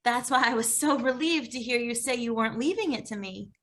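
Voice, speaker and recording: A woman playing a frustrated, earnest grown daughter in an animated comedy table read. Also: fairly high pitch, brisk, lightly acted.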